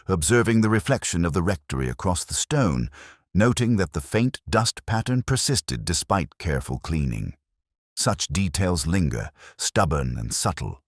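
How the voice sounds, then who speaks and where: Very deep-voiced man narrating a detective story. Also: no room sound, clean studio sound. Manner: measured, reflective, formal. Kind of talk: scripted literary audiobook narration.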